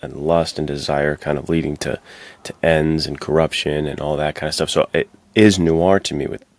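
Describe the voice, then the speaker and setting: A man's voice: very deep voice, fairly even intonation, moderate pace, no echo. A man co-hosting a podcast, talking through film in a casual, thoughtful, conversational way. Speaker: podcast co-host.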